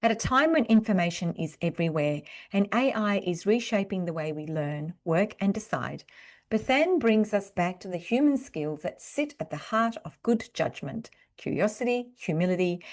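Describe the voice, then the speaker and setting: A woman's voice: lowish voice, a little mellow. A woman hosting an interview podcast, introducing and questioning a guest. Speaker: podcast host.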